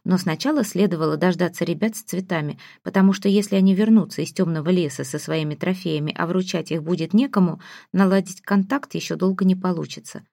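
Female audiobook narrator, lowish creaky voice, expressive first-person fiction reading. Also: fast, dry recording, clean studio sound.

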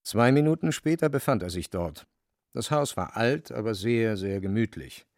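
Male narrator for literary radio drama: gravelly, mid-pitched, close dry studio sound. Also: normal speed, dry recording.

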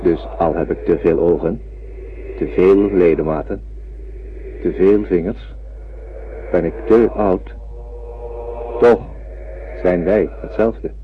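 An actor playing a huge old telepathic alien, gentle and wise, speaking in a measured, solemn way in a radio drama. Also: male voice, sits low, steady tone. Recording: narrow lo-fi old radio recording, faint noise.

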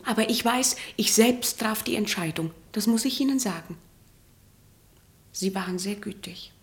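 An actress playing an earnest, troubled young woman in a German radio play, resolute and at times hushed. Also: mid-range voice, moderate pace, natural voice. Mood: uncertain, sincere.

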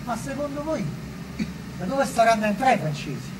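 An actor playing a brash, blunt Roman commoner with a Roman accent, heckling in colloquial speech. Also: male voice, very high-pitched, moderate pace, reverberant, background noise. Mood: teasing. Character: brash, indignant male Roman commoner, once loyal to the Pope. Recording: distant, with music behind.

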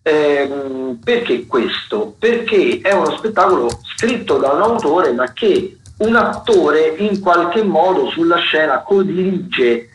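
A man's voice: a little high, expressive, slight background noise. Man presenting radio listings in an echoey room. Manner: halting, searching for words, doubling back. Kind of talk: semi-improvised radio talk, reading event listings.

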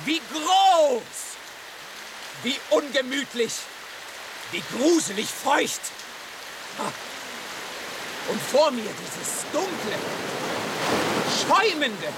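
A timid yet plucky little house cat in a radio drama. The voice is high and unhurried, with a wide-eyed, polite manner. Rain and surf wash clearly behind it. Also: big pitch swings, heavy background noise, heavily acted. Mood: shivering, awed.

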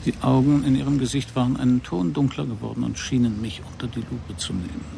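A dry wry detective narrating a radio crime play, gravelly voice, unruffled, bit of noise behind. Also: male voice, mid-range voice, fast talker, dark tone. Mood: wry, observant. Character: male private detective, wry, unruffled, observant first-person narrator. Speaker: narrator and lead actor.

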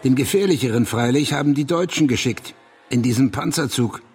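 A man's voice: mid-range voice, fairly quick, a little mellow, breathy edge. An actor playing a grim, pious anti-revolutionary Russian man who argues emphatically in a German radio drama. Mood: bitter, insistent. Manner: declamatory, emphatic.

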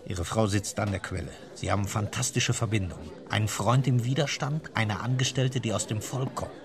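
A slick intelligence handler in a radio thriller, acted by a man, speaks in a lowish male voice. He is pointed and insinuating, leaning on his informant, with a crowd murmuring behind him. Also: normal speed, close to an everyday voice. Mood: persuasive. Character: slick, coercive British intelligence agent pressuring an informant. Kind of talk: German radio crime drama acting.

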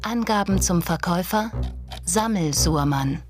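A woman's voice: on the low side, lively intonation, a little slow, over music. An actress playing the detached female voice of an auction website, reading clipped data formally in a radio drama. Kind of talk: scripted announcing in a radio crime drama.

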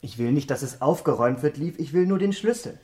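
Young gentleman played by an actor, lightly high voice. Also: animated, fast, lightly acted. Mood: curt. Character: polite but commanding young gentleman. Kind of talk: German radio drama acting.

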